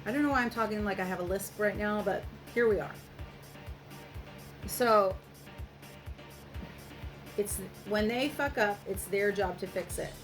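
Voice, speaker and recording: A woman's voice: medium pitch, unhurried, long pauses, over music. A woman tarot reader speaking directly to the listener in a casual, reassuring advice monologue, swearing freely.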